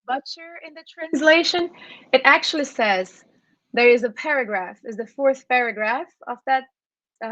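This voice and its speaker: A woman whose first language is Portuguese hosts an online panel over a video call. Her fairly high voice comes through dull, lo-fi call audio with a dry sound. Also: a bit bright, dry recording. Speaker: panel moderator.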